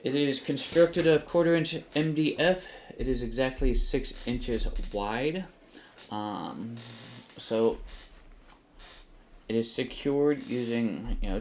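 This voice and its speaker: A middle-aged man casually explaining a hands-on build, halting as he thinks aloud, in a small home room. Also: mid-range voice, darkish tone. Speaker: host of a DIY flight simulator build channel. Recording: faint background room noise.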